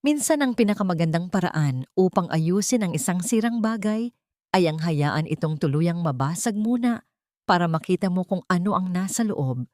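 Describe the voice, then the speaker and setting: A woman narrator plays a tender male admirer in an audio story. He talks softly and consolingly, in a gentle manner, with a dry, close sound. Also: high-pitched, expressive, no room sound. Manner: soft, soothing, unhurried.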